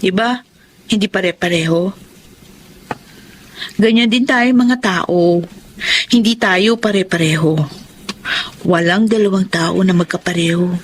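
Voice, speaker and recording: Caring young Filipino sister, medium pitch acted. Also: female voice, unhurried, pauses often, a little hiss or hum, close to an everyday voice. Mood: curious, reassuring. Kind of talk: Filipino radio drama acting.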